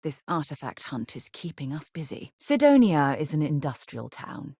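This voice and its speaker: A woman voicing a composed, principled female space explorer and former navigator in a video game, articulate and measured in her phrasing. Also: low-pitched, very animated, warm tone, husky, no echo, clean studio sound, heavily acted. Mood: composed, matter-of-fact. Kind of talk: acted game dialogue lines.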